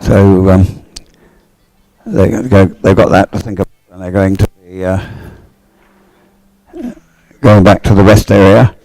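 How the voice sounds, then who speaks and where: A man giving live sports commentary in an explanatory, conversational way, thinking aloud in short, halting phrases. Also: low-pitched, flat delivery, darkish tone, low-quality recording.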